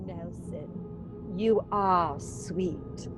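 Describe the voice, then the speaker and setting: An actress playing a sweet, demure young widow and bride in old-time radio melodrama style. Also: female voice, lowish voice, drawn-out words, music in the background. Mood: soft, wistful.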